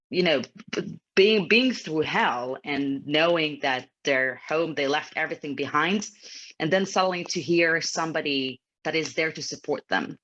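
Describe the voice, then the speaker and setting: A woman's voice: sits low, a bit bright. A woman in her thirties from Ukraine, a native Ukrainian speaker, giving an earnest personal account as an interview guest over a video call. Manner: earnest, slightly non-native phrasing. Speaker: interview guest, federation executive. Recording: dull lo-fi video call audio.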